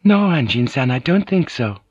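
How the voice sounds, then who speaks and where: A courteous Japanese noblewoman from an audiobook, played by a man, hesitant and easily flustered. The voice is lifted high and swoops gently, on a dry, dull-sounding cassette recording. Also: big pitch swings, normal speed, slightly rough, dry recording. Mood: apologetic, hesitant. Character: polite Japanese noblewoman, soft, flustered, squeamish.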